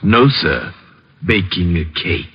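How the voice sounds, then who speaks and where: An actor playing an unruffled, formal manservant, deliberate and deadpan, in a 1940s radio mystery. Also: male voice, deep voice, breathy edge, heavily acted. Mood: deadpan, eerie. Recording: muffled noisy old radio recording.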